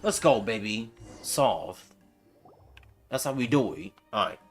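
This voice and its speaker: A man's voice: medium pitch, animated, slow pace, faint music behind. A man giving casual live commentary as he plays a game, laid-back and muttering reactions to himself. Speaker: game streamer.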